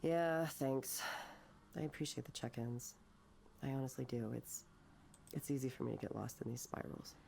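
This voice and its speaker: An actress voicing an anguished, bitter, self-doubting woman artist in a naturalistic visual novel, speaking haltingly and emotionally. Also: rumbling low, creaky, warm tone, a little hiss or hum. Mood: subdued, wry. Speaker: counselling patient.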